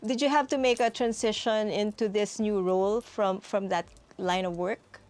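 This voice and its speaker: A woman's voice: mid-range voice, fairly even intonation, normal speed, darkish tone. A woman hosting an interview, polite and conversational after a scripted opening. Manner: polite, scripted intro then conversational questions. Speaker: host.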